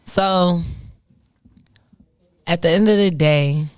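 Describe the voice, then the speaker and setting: A woman's voice: fairly low pitch, slow pace, clean tone, no echo, studio quality. A woman from Chicago hosting a casual radio interview, upbeat and affirming, chatting freely with her guest.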